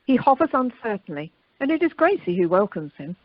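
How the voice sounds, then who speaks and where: A woman's voice: a little high, sing-song, a little fast, lo-fi sound, background noise. A woman acting as the narrator of a radio play, reading out the stage action. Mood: narrating. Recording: amateur telephone conference recording.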